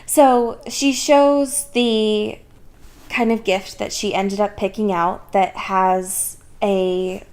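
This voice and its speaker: A woman's voice: medium pitch, fairly even intonation, takes it slowly, a little mellow. A woman podcast host casually recapping a book in a sardonic, informal, sweary manner.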